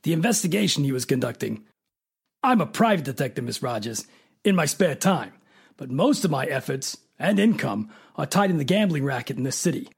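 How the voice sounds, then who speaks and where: An actor playing a smug private detective who is a cold killer in a radio mystery drama, with a raspy, gravelly voice and a coolly sardonic manner. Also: mid-range voice, expressive, fairly quick, exaggerated voice. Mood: coolly menacing.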